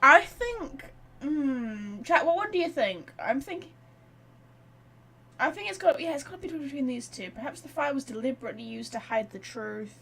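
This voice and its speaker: Female game streamer, bright and fairly high, chatty, thinking aloud to her chat. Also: expressive, moderate pace, gravelly. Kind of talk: casual live game commentary.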